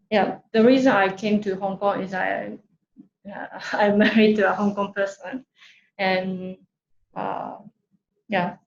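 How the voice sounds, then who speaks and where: Slow, hesitant Japanese-accented woman, echoey room. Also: medium pitch, a little mellow, lo-fi sound. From Tokyo, Japan. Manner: hesitant, searching for words in a second language. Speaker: workshop speaker. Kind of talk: informal online presentation. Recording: roomy echo, clear background noise.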